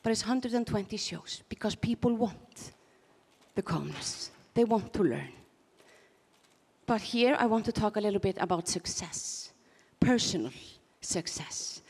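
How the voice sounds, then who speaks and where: A woman's voice: medium pitch, lively intonation, a little slow, a little mellow, breathy edge, in a large hall. A woman from Iceland with a mixed Icelandic, Scottish and English accent, doing self-mocking stand-up comedy on a conference stage and laughing at her own jokes. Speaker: stand-up performer.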